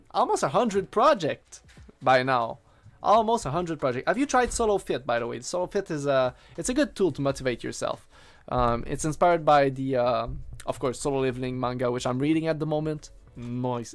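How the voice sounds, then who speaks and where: Chatty rambling coding streamer man. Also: fairly high pitch. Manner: rambling and chatty, many fillers, enthusiastic about his tools. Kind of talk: casual live-stream chat while coding.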